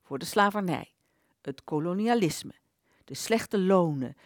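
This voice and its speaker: A woman in a Dutch radio play voicing an aborted idea, a bitter vanished thought, telling its tale dramatically with slow emphases. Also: on the low side, animated, no echo, clean studio sound. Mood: impassioned.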